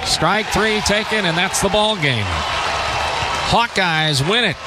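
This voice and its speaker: A man calling live baseball play-by-play on the radio in measured, clipped phrases with pauses between them. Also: high-pitched, over a noisy crowd, very noisy. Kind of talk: live radio sports commentary.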